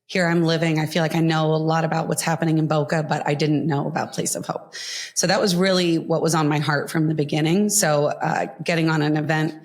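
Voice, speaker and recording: A woman in her thirties, a podcast guest, talking earnestly and warmly about her volunteer work, with frequent ums and pauses. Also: deep voice, little pitch movement. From Florida. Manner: earnest, heartfelt, with frequent fillers. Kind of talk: casual interview talk.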